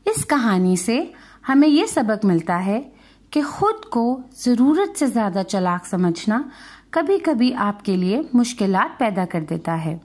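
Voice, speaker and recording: A woman's voice: mid-range voice, darkish tone. A woman storyteller narrating a scripted folk tale for children.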